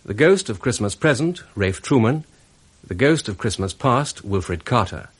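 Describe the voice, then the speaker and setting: A British male announcer reads in a formal, scripted style. His voice is lowish and husky, with lively rises and falls. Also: a little slow. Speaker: radio announcer.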